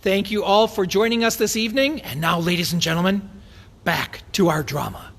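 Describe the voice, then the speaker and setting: A man's voice: high-pitched, moderate pace, vocal fry, fairly bright tone, a little hiss or hum. A man speaking as an event host, giving polite, formal and somewhat hesitant thanks to an audience. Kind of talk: live thank-you announcement to audience. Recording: reverberant hall.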